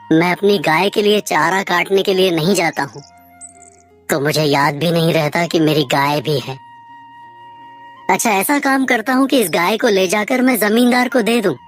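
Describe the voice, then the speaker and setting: Woman playing a low-pitched lazy, foolish village husband. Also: lightly acted. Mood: scheming. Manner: plaintive, thinking aloud. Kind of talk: moral-story cartoon voice acting. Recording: music playing behind the voice.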